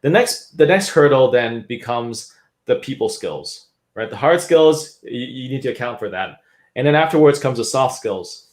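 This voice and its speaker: A man's voice: medium pitch, animated, moderate pace. A man from Canada, a guest expert explaining career change in an interview. He talks things through with restarts and frequent tag questions. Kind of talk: interview talk.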